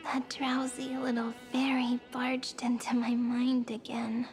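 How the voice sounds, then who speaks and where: A gentle, wistful young woman in a game, her high voice breathy and often sinking into a whisper. She speaks extremely slowly and poetically, as if fading into sleep, with prominent music behind her. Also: level tone. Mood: sleepy, melancholy. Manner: breathy, hushed, drifting into whispers. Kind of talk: game cutscene voice acting, poetic monologue. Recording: voice under loud string music.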